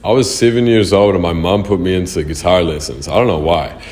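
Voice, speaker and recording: Young New male artist, very deep, swears freely, echoey room. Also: steady tone, a little fast, a little mellow. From New Zealand. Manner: loose, slangy and profane. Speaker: music artist. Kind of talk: casual answers to interview questions. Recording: roomy echo.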